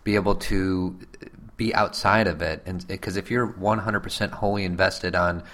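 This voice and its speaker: A man's voice: deep voice, steady tone, normal speed, fairly bright tone. A man in his thirties co-hosting a podcast, explaining his view in a casual talk. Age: 30s. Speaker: podcast co-host. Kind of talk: casual conversation.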